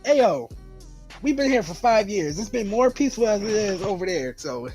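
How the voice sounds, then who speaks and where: Man with lively, varied pitch, chatting casually. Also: normal speed. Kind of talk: casual chat. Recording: music playing behind the voice. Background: background music.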